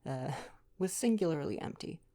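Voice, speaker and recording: A young man doing an intimate ASMR boyfriend roleplay, chatting casually and reading aloud in a gentle, playful, affectionate way. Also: on the high side, animated, normal speed. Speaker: ASMR roleplay performer and reader.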